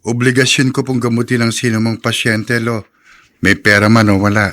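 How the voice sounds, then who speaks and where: A man's voice: mid-range voice, little pitch movement, brisk, bright, forceful tone, lightly acted. A Filipino man acting a dutiful young doctor in a radio drama, respectful and sincere. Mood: earnest, reassuring. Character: earnest, dutiful young male doctor and grandson.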